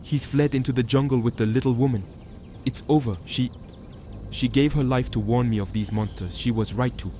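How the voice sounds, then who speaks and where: A man playing a troubled hidden observer who narrates a fantasy drama in hushed, halting phrases. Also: mid-range voice, slight background noise, lightly acted. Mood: shocked. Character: watchful hidden observer, troubled chosen one, resolute. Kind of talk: first-person dramatic narration. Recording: music behind the voice.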